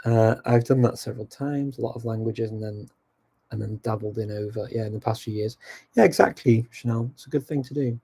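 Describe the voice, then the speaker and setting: A man hosting a casual live Q&A, answering viewer questions with relaxed, reflective advice. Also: mid-range voice, brisk, gravelly, breathy edge.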